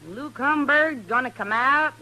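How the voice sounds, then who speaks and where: An actress playing a stubborn, plain-spoken hillbilly wife with a rural American twang in a radio western. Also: female voice, sits high, measured pace, bright, forceful tone, exaggerated voice. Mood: insistent. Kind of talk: old-time radio western acting.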